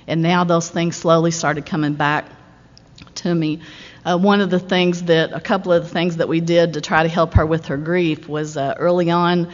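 A middle-aged woman giving a candid, wry personal recovery talk at a meeting. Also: low-pitched. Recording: muffled recording in a large hall.

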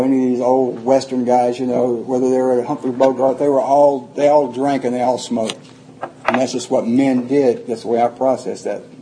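A man's voice: mid-range voice, dark tone, a little hiss or hum, poor audio. An older man, telling his life story plainly to a group, rambling and restarting often. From Atlanta, Georgia, USA. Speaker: recovery speaker.

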